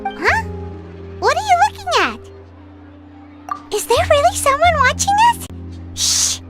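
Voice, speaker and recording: A woman voicing a tiny, excitable, bossy and nosy girlish fairy companion for a video game. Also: extremely high pitch, a little slow, full character voice. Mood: suspicious, startled. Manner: exclaiming, speaks of herself in third person. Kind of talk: video game character acting. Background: music.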